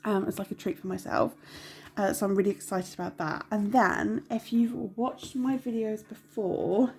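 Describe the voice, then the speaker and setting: A woman's voice: medium pitch, fairly even intonation, moderate pace, a little mellow, slightly rough. A young woman with a stuffy, nasal cold, chatting casually to camera at home with lots of ums. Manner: chatty, rambling, many ums, apologetic. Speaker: budgeting vlogger.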